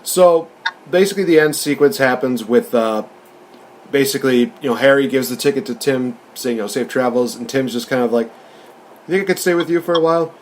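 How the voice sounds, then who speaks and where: A man's voice: mid-range voice, brisk, a little hiss or hum. A man hosting a casual review discussion, recapping and commenting in an informal, conversational way. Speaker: podcast host reviewing films.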